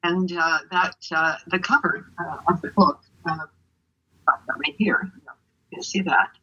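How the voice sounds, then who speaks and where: An older woman from Canada, raised speaking Ukrainian, talks in a bright but breathy voice. She rambles and loses her thread, with many fillers and restarts. The audio sounds muffled and lo-fi. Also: fairly low pitch, measured pace. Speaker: author being interviewed. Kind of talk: casual interview answers.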